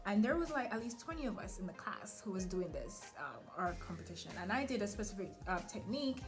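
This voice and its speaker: A young woman sharing a personal story in a casual, chatty way, full of fillers and animated retelling. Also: medium pitch, fast talker, soft background music.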